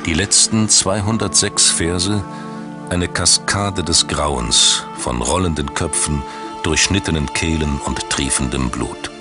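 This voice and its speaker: A man reading scripted documentary narration in a measured, dramatic storytelling manner. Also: a bass voice. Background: background music.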